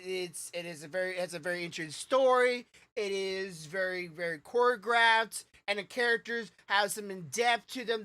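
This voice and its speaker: A woman's voice: medium pitch, sharp tone. A woman co-hosting a podcast in casual chat, rambling with restarts and fillers. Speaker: podcast co-host.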